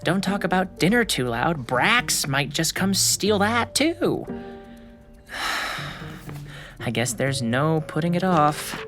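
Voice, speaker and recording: A performer voicing a sullen, sarcastic, put-upon schoolboy who grumbles defensively in a family audio drama. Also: female voice, low-pitched, sing-song, slow, pauses often, fairly bright tone, faint music behind, exaggerated voice. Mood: grumbling. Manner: sulky, grumbling, sighing.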